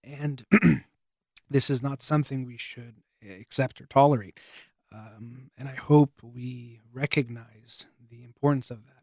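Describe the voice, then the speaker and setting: A man talks in an earnest, measured way, pausing and restarting his sentences as he works through his thoughts. His voice is wearing thin, as though he is losing it. His pitch sits in an ordinary middle range, and the recording is dry with no room echo. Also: fairly even intonation, darkish tone. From United States. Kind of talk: reflective spoken monologue.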